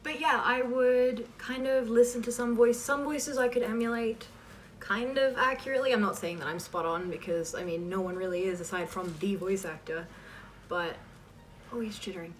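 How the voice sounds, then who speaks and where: A woman's voice: on the high side, moderate pace. A woman chatting casually as she answers questions, rambling and self-deprecating with trailing asides. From Canada. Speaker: host of a fan voice-acting channel. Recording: distant mic with faint background noise.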